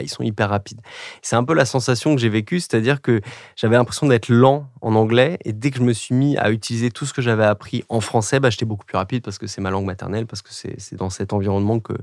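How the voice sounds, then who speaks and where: A man's voice: mid-range voice, a little mellow, no room sound, studio quality. A French man in his thirties, a podcast guest talking in a reflective, digressive way with many fillers and restarts. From France. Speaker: podcast guest, agency director. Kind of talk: conversational interview.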